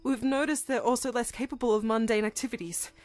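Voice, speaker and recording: A woman's voice: a little high, steady tone, fast, lightly acted. A woman playing a grave, anxious scientist giving reports in an audio drama. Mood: urgent.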